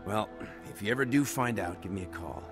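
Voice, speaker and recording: An actor playing a calm older male hacker mentor who speaks in a measured, fatherly way in a video game cutscene. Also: on the low side, music in the background, lightly acted. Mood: grave. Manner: measured, fatherly, calls him son.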